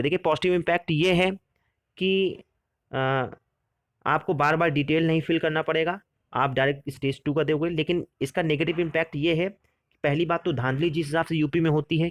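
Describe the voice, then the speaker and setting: A man's voice: fairly high pitch, no room sound. A young man giving an informal explainer lesson on an exam. He talks in a conversational way, repeats himself and keeps checking in with the listener. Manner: repetitive, conversational, keeps saying "theek hai". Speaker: exam coaching presenter.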